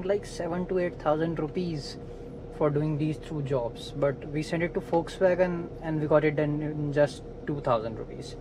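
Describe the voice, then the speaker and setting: A man's voice: a little high, background noise. A man explaining a car repair step by step while he works inside a parked car. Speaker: DIY car mechanic. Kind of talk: hands-on repair tutorial.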